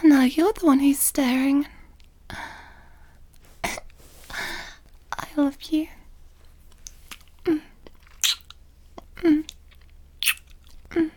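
Actress as affectionate girlfriend figure for whispered audio roleplay: high register, very slow, breathy, halting delivery. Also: steady tone, dark tone. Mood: tender, shy. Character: amorous, tender female lover with a tail.

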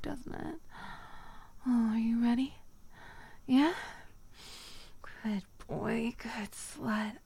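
A woman performing a dominant, teasing audio roleplay, speaking in soft whispers broken by low murmurs. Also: creaky, breathy edge.

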